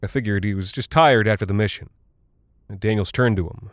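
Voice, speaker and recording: An actor playing a rattled, folksy American cargo pilot who talks in a halting, confiding way, like a personal audio log in a horror drama. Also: male voice, fairly low pitch, no room sound, studio quality, natural voice. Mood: uneasy, confiding. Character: weary, rattled male military cargo pilot, chatty and folksy.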